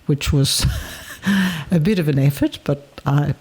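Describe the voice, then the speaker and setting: An older woman in her seventies, originally from South Africa, recalls her past in an unhurried interview. Her voice is warm and mellow, with a slightly husky, breathy edge, and she speaks in a reflective, measured way, pausing to gather her thoughts. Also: sits low. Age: 70s. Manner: reflective, measured storytelling with pauses. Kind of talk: reminiscing in a recorded interview.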